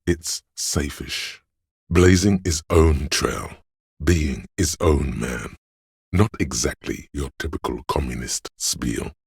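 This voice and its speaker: Suave, extremely deep gravelly inner voice. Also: male voice, no room sound, clean studio sound, exaggerated voice. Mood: conspiratorial. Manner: suave, theatrical, deliberate phrasing. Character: Savoir Faire, flamboyant, cocky inner voice of style and hustle. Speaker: video game voice actor. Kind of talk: dramatic scripted game narration in second person.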